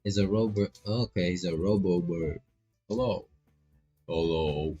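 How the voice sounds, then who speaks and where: A man's voice: sits low, a little slow, mellow. A man casually reading a game aloud and tossing in joking, slangy asides as a streamer. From Philippines. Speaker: gaming streamer. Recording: slightly dull, lo-fi mic. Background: faint game music.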